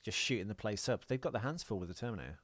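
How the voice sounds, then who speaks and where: A man's voice: fairly low pitch, expressive, very fast, a bit bright. A man co-hosting a podcast, casually explaining details in conversation about films. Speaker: podcast co-host. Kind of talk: casual film discussion.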